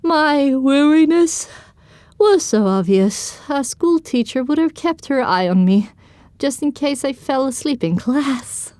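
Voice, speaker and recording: A woman's voice: sits high, lively intonation, slow, a little mellow, no room sound. A woman narrating an audio drama in the first person, reading scripted storytelling prose.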